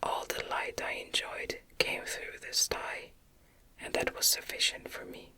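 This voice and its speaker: A woman gently whispering an ASMR reading of a gothic novel, with deliberate, careful phrasing. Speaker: audiobook narrator, ASMR creator.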